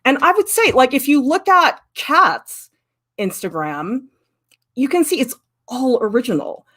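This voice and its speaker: A middle-aged woman art professor giving a candid, lively livestream critique and answering viewers' questions. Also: sits high, unhurried. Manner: candid, lively, emphatic, with frequent "I mean".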